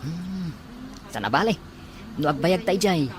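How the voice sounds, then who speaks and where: A man's voice: fairly high pitch, a little slow, crowd noise, close to an everyday voice. A man playing an eager young student from the provinces in an audio drama. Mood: curious, eager. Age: young adult. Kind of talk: acted audio drama dialogue.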